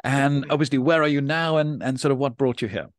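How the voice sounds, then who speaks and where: A man's voice: medium pitch, no room sound, studio quality. Polite man hosting a podcast interview. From Norway. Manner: measured, polite, with frequent restarts. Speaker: podcast host and debate coach.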